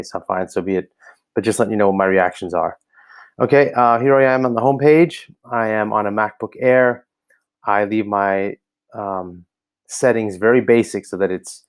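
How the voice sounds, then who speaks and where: An American man giving casual spoken feedback on a website, hesitant and full of ums and restarts, recorded on a laptop camera indoors. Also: fairly low pitch. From United States. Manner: hesitant, many ums and restarts, thinking aloud. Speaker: website reviewer for coaches. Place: indoor room, seen on camera.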